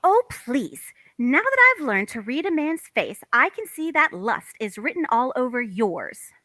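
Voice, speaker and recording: A woman's voice: sits high, very animated, unhurried, sharp tone, dry recording, full character voice. A woman playing a feisty, suspicious housewife in a comic play table read, arch and pointed in her emphasis. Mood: sarcastic, accusing. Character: feisty, suspicious young housewife, sharp-tongued.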